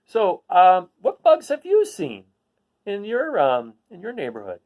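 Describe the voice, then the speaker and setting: A man's voice: high-pitched, wildly swooping pitch, unhurried, a little mellow. A man reading a children's picture book aloud, adding casual asides and putting questions to his listeners. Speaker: read-aloud host.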